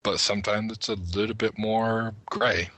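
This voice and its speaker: A man with a very deep, rough-edged voice discusses things casually as a panelist. He explains and argues with small asides, in a forceful, cutting tone. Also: fairly even intonation, moderate pace, dry recording. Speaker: podcast panelist.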